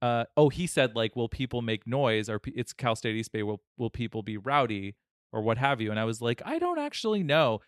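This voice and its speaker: Podcast co-host, male, animated storyteller, smooth voice, dry close mic. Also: medium pitch, fairly quick, no room sound. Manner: animated storyteller, laughs often, many restarts. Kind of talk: casual chat.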